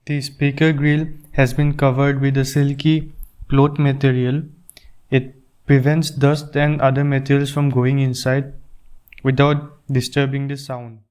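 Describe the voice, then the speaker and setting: Man, product reviewer, smooth clear voice, flat even intonation, medium pitch, plain step-by-step narration, earphone microphone. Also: measured pace. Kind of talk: scripted unboxing and review narration.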